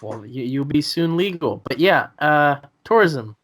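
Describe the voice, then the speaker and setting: A man's voice: a little high, animated, measured pace, a bit bright. A twenty-two-year-old man in a casual chat, speaking hesitantly and often repeating his words. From South America.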